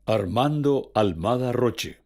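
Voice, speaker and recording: A man's voice: mid-range voice, animated, takes it slowly, gravelly, darkish tone. A man delivering scripted narration as the narrator of a documentary.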